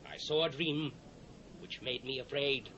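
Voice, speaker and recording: A man's voice: mid-range voice, fairly even intonation, unhurried, highs cut off, natural voice. A British actor playing a proud, commanding king of the ancient world in a dramatised scripture reading, declamatory and imperious. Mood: grand, proclaiming. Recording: faint hiss, old cassette transfer.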